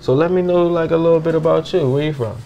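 A man's voice: fairly high pitch, quick pace, dark tone. A man, chatting casually in slangy, informal speech as a podcast host. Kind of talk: casual chat interview. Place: home kitchen, indoors. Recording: clear sizzling kitchen noise behind. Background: frying and sizzling food.

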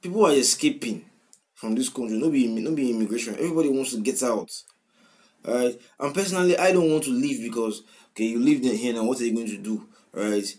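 A man in his twenties with a Nigerian accent, reacting to a song in casual commentary, swinging from loud outbursts to quiet mumbling, recorded on a webcam in a small room. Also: mid-range voice, brisk. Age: 20s. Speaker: music reaction host. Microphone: webcam at desk, wearing headphones.

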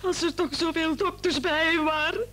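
Anguished high-voiced wife. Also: female voice, steady tone, normal speed, fairly bright tone, slight background noise. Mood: anguished grief. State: tearful, anguished. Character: distraught, accusing wife of a dying patient. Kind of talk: Dutch-language radio play acting.

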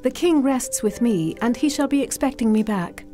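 A woman's voice: a little high, animated, normal speed, dark tone, soft background music, close to an everyday voice. A woman playing a spirited young noblewoman. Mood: firm. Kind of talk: video game cutscene acting.

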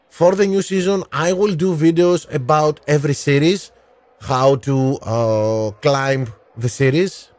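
A man in his thirties streaming a racing game live, calling strategy and answering his chat by name with frequent pauses, on a headset mic in a small room. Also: a little high, a little mellow, no room sound. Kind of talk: live gameplay commentary and chat replies.

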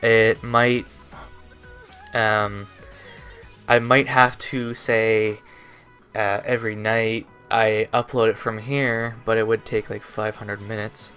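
A man in his twenties talking in a casual, rambling vlog style, hesitant and halting as his thoughts trail off. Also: on the low side, level tone, bright, forceful tone, soft background music. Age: 20s. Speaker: YouTube vlogger. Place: small bedroom, indoors.

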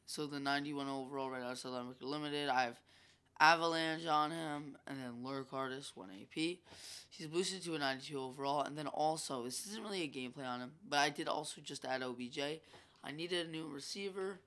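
Bright congested young gaming guy. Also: on the high side, a little fast, clear, resonant tone. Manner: chatty, rambling, filler-heavy. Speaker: gaming YouTuber. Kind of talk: casual gameplay commentary.

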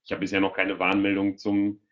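Man, middle-aged, low-pitched voice, informal expert talk, dull headset call audio. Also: completely flat delivery, brisk, fairly bright tone. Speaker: expert panelist. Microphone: headset on a video call.

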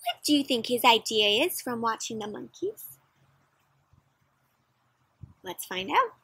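A young woman doing an expressive, interactive picture-book read-aloud for children, putting questions to the listener. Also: a little high, measured pace, breathy edge. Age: young adult. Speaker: children's story reader. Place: outdoors in a backyard with play structure.

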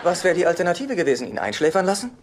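A meek, needy sitcom brother with a fairly high, very animated voice. He is pedantic and wry, hesitating as he wheedles. The soundtrack has clear background noise. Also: racing. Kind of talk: dubbed sitcom dialogue.

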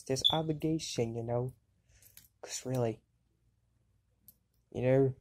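A woman voicing an excitable, sarcastic anime girl in an amateur cartoon fandub. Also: rumbling low, husky, full character voice. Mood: subdued, musing.

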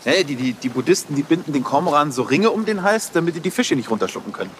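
Man acting know-it-all cop, fast, animated, lecturing, TV drama, faint ambient noise. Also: a little high, close to an everyday voice. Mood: eager. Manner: excitable, lecturing. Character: eager, know-it-all male police officer, chatty.

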